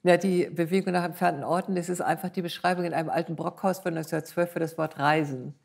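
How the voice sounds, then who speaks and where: Older woman, low creaky voice. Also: steady tone, fast. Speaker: composer and sound artist being interviewed. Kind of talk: reflective conversational interview in front of an audience.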